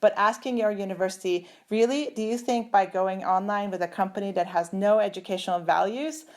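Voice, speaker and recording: A woman's voice: medium pitch, fairly even intonation, brisk, a little mellow. A middle-aged woman from Turkey, a computer scientist speaking as an interview guest over a video call, thoughtful and full of fillers and restarts. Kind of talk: conversational interview.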